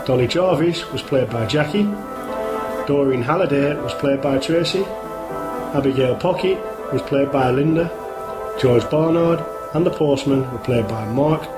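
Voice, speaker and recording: A man's voice: mid-range voice, measured pace. A man reading scripted introductions and credits as the announcer of a radio play. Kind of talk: scripted narration. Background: loud music.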